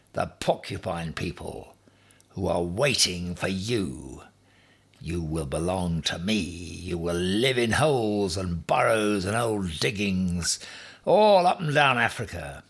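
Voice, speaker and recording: Man acting a pompous, commanding storybook man, husky-voiced. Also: lowish voice, fairly bright tone, heavily acted. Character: Ham, bossy, boastful man, self-styled future emperor. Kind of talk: children's storybook character voice.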